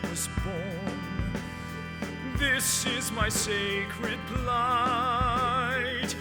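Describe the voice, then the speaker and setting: Actor as a high-voiced, impassioned inventor in musical theatre. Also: male voice, fairly even intonation, drawn-out words, loud music behind the voice, heavily acted. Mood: soaring, resolute. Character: earnest, driven visionary male inventor. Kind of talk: stage musical singing and dialogue. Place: staged reading in a theatre. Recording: hall reverb, loud musical accompaniment.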